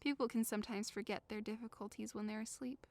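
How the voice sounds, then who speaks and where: A woman's voice: a little high, fairly even intonation, quick pace, a little mellow, dry recording, lightly acted. A woman playing a forlorn, rejected young lover in an audio drama, plaintive and theatrical. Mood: weary, miserable. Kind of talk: audio drama acting of modernized Shakespeare.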